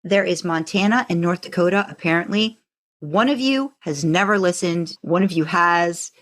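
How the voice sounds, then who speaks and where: A woman co-hosting a podcast in a chatty, informal, animated way. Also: on the low side, moderate pace. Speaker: podcast co-host. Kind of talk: casual podcast chat.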